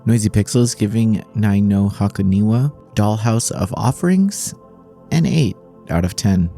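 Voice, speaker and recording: A man reading a scripted video game review in a measured, formal way, as a voice-over. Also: on the low side, gravelly, mellow. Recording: voice-over with background music. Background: music.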